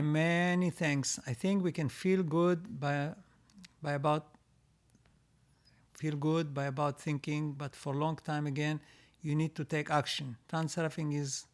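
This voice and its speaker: A man hosting a live stream, reading out viewer comments and answering them in a halting way, with many restarts and repeated words. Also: a little high. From Israel. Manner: reads out comments, then answers.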